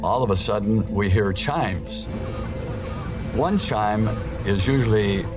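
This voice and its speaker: A man's voice: fairly low pitch, slow. A man recalling and explaining events as an airline captain in a documentary interview. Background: music.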